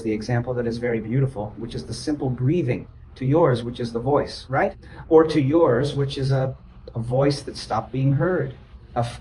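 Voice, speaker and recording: A man's voice: mid-range voice, a little mellow, a little hiss or hum, poor audio. A man speaking as a therapist in a therapy session, insistent and repetitive, often checking whether his listeners understand. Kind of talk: group therapy session talk.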